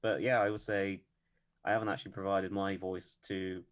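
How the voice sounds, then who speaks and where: Male podcast guest, deep monotone, deadpan delivery, measured and hesitant. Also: clean tone. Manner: measured, lots of ums and hedges. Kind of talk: casual interview chat.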